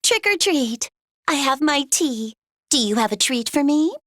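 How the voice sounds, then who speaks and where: An eager little fantasy-game girl, high-voiced and bright. Her delivery is cutesy and childlike, bouncing around in pitch. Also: lively intonation, a little slow, no room sound, clean studio sound. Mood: politely playful. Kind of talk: video game character lines.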